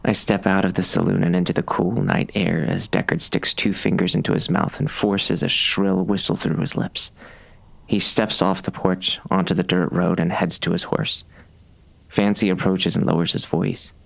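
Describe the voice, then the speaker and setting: An actor playing a wry, brooding bounty hunter narrating a western audiobook in a gravelly voice. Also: male voice, low voice, level tone, normal speed, a bit bright, full character voice. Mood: wry, watchful.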